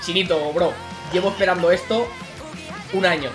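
A young adult man from streaming from home, chatting casually with loud excited outbursts and swearing. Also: sits high, moderate pace, music in the background. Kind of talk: casual live-stream chat.

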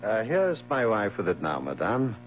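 An actor playing a deferential, anxious manservant in a 1970s radio drama, apologetic and stammering. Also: male voice, on the low side, wildly swooping pitch, normal speed. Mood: anxious deference. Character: deferential servant, anxious devoted husband. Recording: muffled, hissy 1970s radio recording.

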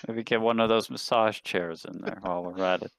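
A man's voice: sits low, steady tone, brisk, gravelly, a bit bright, no room sound. A man chatting casually as a player in a tabletop roleplaying game. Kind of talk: casual chat.

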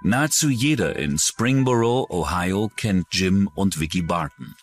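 Man narrating true crime with lively intonation. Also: low-pitched, a little slow, soft background music. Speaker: documentary narrator.

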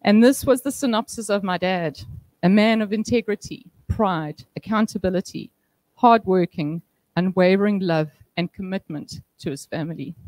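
A woman in her thirties giving a eulogy for her father at a memorial service, heartfelt with touches of gentle humor, speaking into a handheld mic in an indoor function room. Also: mid-range voice, moderate pace, dry recording. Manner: heartfelt, with light humor and soft laughs. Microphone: handheld microphone.

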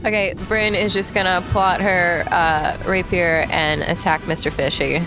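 A woman's voice: lowish voice, fairly even intonation, moderate pace, poor audio. A woman chatting casually as a player in a tabletop roleplaying game. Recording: background music under voice.

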